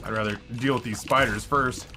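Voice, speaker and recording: A man in his thirties streaming and commenting on a game in a rambling, self-mocking way, his voice hoarse after hours of talking. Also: medium pitch, steady tone, brisk, music in the background. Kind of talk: live gameplay commentary. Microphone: headset with headphones.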